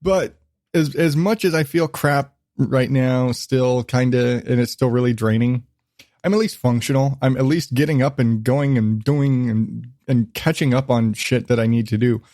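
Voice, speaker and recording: A man's voice: medium pitch, moderate pace, dark tone, no echo. A man hosting his own podcast, chatting in a loose, rambling way and cutting himself off mid-thought. He swears easily and sounds like he is still shaking off a cold. The sound is close and dry, with no room around it. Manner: rambling, swears freely, self-interrupting. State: recovering from illness, lingering cough. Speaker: podcast host. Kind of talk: casual solo podcast chat.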